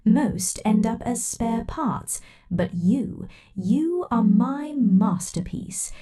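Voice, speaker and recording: A woman speaks slowly and softly in an ASMR roleplay, playing a possessive, controlling scientist who talks straight to the listener. Her voice is mellow and fairly high, and its pitch moves a lot. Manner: soft, slow, menacing and possessive.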